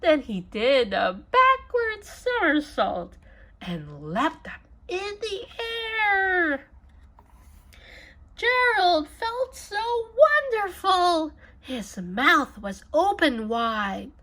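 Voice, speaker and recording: A woman reading a children's storybook aloud with expressive narration. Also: very high-pitched, extremely slow. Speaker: storybook reader.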